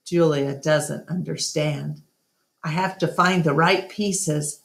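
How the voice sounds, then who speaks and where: A middle-aged woman reads a children's story aloud. Her voice sits a little low, and she takes her time, moving carefully and deliberately from phrase to phrase at a very unhurried pace. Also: fairly even intonation, gravelly. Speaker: read-along storyteller. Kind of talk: reading a children's novel aloud.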